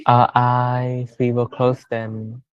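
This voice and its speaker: A man teaches meditation in a gentle voice at a medium pitch. He speaks very slowly and leaves long pauses between short phrases. The sound is dry and close, with little room echo. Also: completely flat delivery, clean tone, highs cut off. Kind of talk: guided meditation.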